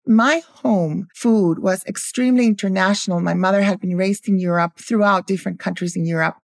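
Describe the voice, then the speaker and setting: A mature woman from Guatemala tells stories as an interview guest. Her mid-pitched voice has noticeable creak, and the recording is close and dry. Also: steady tone, brisk, no echo. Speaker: guest, food historian and cookbook author. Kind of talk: interview storytelling.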